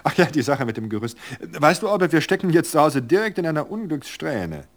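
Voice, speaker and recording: A man voicing a cheerful, flighty society woman in an audiobook, speaking brightly and breezily. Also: on the high side, very animated, brisk, voice pushed up. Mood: breezy cheerful. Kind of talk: audiobook character voice.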